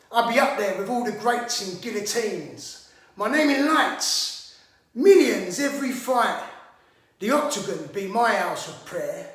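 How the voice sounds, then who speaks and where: Man acting a swaggering high-pitched brawler. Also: a bit bright, heavily acted. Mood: boastful, wry. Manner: theatrical, swaggering, sweary. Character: hot-tempered working-class brawler with mock-grand speech. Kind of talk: theatrical monologue. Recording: echoey room, distant microphone.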